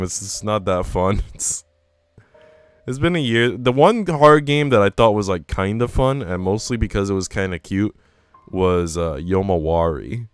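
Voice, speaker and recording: A man's voice: deep voice, smooth, no echo. A man live-streaming a visual novel, reading it aloud and chatting casually in slangy asides. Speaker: game streamer. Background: music.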